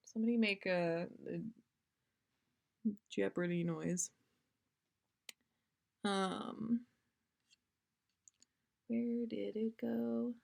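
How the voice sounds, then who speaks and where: A woman's voice: medium pitch, fairly even intonation, very slow, creaky, pauses often, a little mellow. A woman podcast host chatting casually on a livestream, giggly and self-deprecating between story readings.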